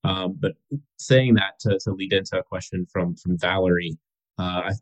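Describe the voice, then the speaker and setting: Low male moderator, hesitant, leans on fillers. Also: level tone, normal speed, poor audio. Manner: hesitant, many fillers, reads questions aloud. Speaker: webinar moderator. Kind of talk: moderating a webinar.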